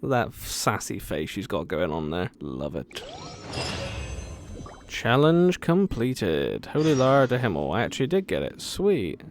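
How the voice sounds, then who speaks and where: A woman's voice: a bass voice, background noise. A woman giving casual, chatty, playful gameplay commentary as a video host, reacting aloud to the characters. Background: faint game music.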